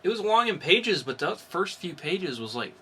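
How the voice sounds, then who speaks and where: A man's voice: high-pitched, very animated, normal speed, fairly bright tone, a little hiss or hum. A man co-hosting a podcast, chatting casually and explaining things about comics in an informal way. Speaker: podcast co-host reviewing comics. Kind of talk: casual chat.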